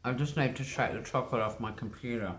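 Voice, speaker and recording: A woman with a strikingly deep, low voice hosts a casual livestream from home, speaking into a computer mic at her desk. She chats loosely and rambles, reading out viewers' comments and filling her talk with ums and likes. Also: quick pace. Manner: chatty, rambling, often says um and like. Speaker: livestream host.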